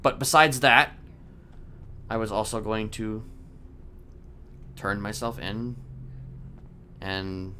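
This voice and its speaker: A man in a game roleplay voicing a calm, sinister criminal manipulator who speaks haltingly and deliberately in formal words. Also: fairly low pitch, slight background noise. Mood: measured, confessional. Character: soft-spoken sinister male criminal manipulator, calmly confessing. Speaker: roleplay streamer. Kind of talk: improvised in-character game roleplay.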